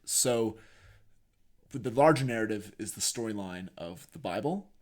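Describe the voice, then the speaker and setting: A man's voice: fairly low pitch, animated, a little slow. A man co-hosting a podcast, explaining his thoughts in a reflective, conversational way and thinking aloud as he goes. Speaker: podcast co-host. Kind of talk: conversational discussion.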